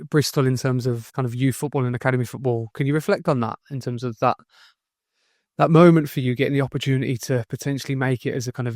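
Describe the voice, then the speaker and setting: A man's voice: medium pitch, a little mellow, no room sound. A male podcast host with an ordinary mid-range voice asks long, winding questions. The recording is dry and close, with no room sound. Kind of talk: interview questions.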